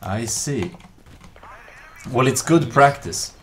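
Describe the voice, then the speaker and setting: A man's voice: medium pitch, slow pace, a little hiss or hum. A man in his thirties streaming a game, chatting casually into a headset with lots of fillers and chuckles. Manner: relaxed, hesitant with many fillers, chuckling. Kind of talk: casual gameplay chat.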